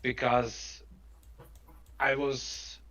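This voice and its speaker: A man from Croatia with a Croatian accent, telling his story informally in halting, non-native English as a stream guest. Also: mid-range voice, little pitch movement, fairly bright tone. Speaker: guest on a trading live stream.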